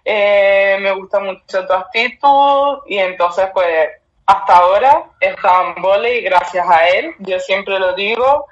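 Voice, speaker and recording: A young woman, born in Cuba and raised in Spain, tells her story in a relaxed, chatty way, laughing easily between thoughts. Her voice sits at a middle pitch. It comes through a somewhat muffled remote connection. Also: a little slow. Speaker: guest volleyball player. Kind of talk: casual interview answers. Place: remote call from abroad. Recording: dull lo-fi remote line.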